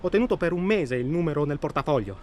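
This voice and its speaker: A male actor voices a self-absorbed, articulate traveller in a radio drama, his voice slightly high. He talks bookishly and insistently, as if he must confide everything. Noticeable outdoor ambience sits behind him. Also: animated, fast, a little mellow, natural voice. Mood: agitated, confessing. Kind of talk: naturalistic radio drama dialogue. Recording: clear ambient noise behind.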